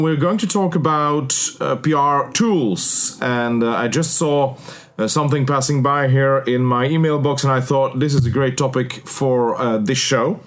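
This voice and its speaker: A man hosting a podcast interview, relaxed and full of filler words. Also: fairly high pitch. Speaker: podcast host. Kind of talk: casual interview.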